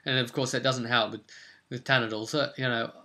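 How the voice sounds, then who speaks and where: A man's voice: mid-range voice, fairly even intonation, fairly quick, sharp tone. A man, a game master hosting a vidcast, informally recapping his tabletop role-play campaign.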